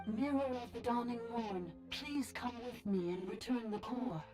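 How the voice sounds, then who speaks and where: A woman's voice: fairly high pitch, normal speed, warm tone, faint music behind, lightly acted. A woman voicing a cold, cryptic automaton in a video game, giving detached, mechanical declarations. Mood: impassive.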